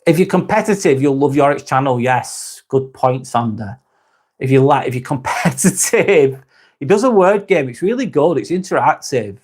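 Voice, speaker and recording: Man, mid-pitched, rambling live-stream host, laid-back, swears casually. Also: moderate pace, slightly rough. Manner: relaxed, rambling, frequent restarts and swearing. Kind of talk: casual live chat reading comments.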